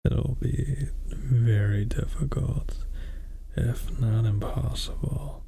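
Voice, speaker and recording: Man acting as flirtatious massage therapist, heavy gravelly fry, dark soft tone, intimate ASMR role-play, murmured hums. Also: medium pitch, steady tone, exaggerated voice. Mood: slow, suggestive. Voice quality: breathy, gravelly whisper. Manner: hesitant pauses, murmured hums. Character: flirtatious, dominant male massage therapist, soothing and slow.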